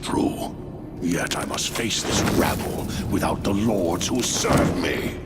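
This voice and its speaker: An actor playing a menacing, imperious undead king of the dead, speaking in a deep and ominous way. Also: slow. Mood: scheming. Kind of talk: game cutscene voice acting. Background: loud music.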